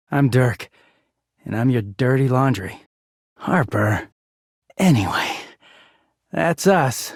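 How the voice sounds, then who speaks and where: Exasperated young laundry guy, video game character, sardonic, foul-mouthed, animated pitch, rough-edged tone, close dry sound. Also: drawn-out words, long pauses, dry recording, exaggerated voice. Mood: sullen, sardonic. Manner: sardonic, foul-mouthed, swinging from muttering to shouting. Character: bitter, exasperated young male dirty-laundry character in toxic romance.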